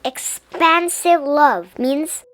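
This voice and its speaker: A woman reading a children's picture book aloud in a gentle, deliberate, expressive way. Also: high voice, faint music behind. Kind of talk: children's storybook read-aloud.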